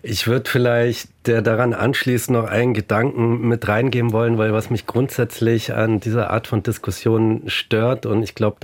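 A man speaking as a guest in a discussion, making a measured, articulate, intellectual critical argument. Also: fairly low pitch. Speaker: filmmaker, guest.

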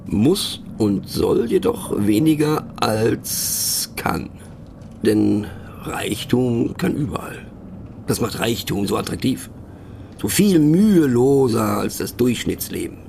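A man's voice: mid-range voice, lively intonation, takes it slowly, gravelly, a little mellow, slight background noise. A man playing a pensive, mocking narrator in a satirical radio play monologue, speaking ironically with conversational, questioning asides. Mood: wry, mocking. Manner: ironic, rhetorical, punctuated with little questioning tags. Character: wry, pensive, mocking male narrator musing on words.

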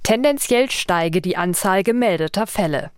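A woman's voice: mid-range voice, animated, normal speed, clear, resonant tone, no room sound, clean studio sound. A woman radio reporter narrating a scripted fact-check report.

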